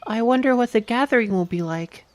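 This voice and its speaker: An actor voicing a nervous, excitable young male apprentice cat in an audiobook fan dub. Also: mid-range voice, fairly quick, close to an everyday voice. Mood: anxious.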